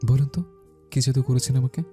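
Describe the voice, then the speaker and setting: A man's voice: medium pitch, fairly even intonation, fairly quick, dark tone, faint music behind, natural voice. A man acting a romantic, possessive young army officer husband in an audio love story, with an intimate, teasing, intense manner. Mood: earnest, smitten.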